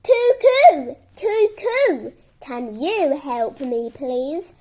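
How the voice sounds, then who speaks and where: Storybook role: sly male squirrel, played by a woman, high, very slow, sing-song, wheedling and indignant. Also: big pitch swings, dark tone, heavily acted. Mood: cooing, pleading.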